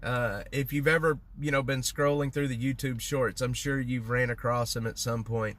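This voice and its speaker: A man's voice: medium pitch, steady tone, moderate pace, fairly bright tone. A man, congested, chatting casually on a livestream in a rambling way with lots of fillers and restarts. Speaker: livestream host. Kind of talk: casual livestream chat with viewers.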